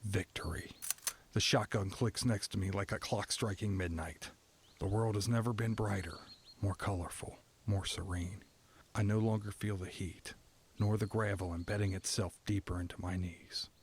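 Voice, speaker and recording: A man plays a condemned male prisoner telling his story in a slightly low voice. The dramatic narration is somber, stoic and deliberate, with a faint noise on the recording. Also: steady tone, close to an everyday voice. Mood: stoic, detached. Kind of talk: scripted first-person dramatic narration.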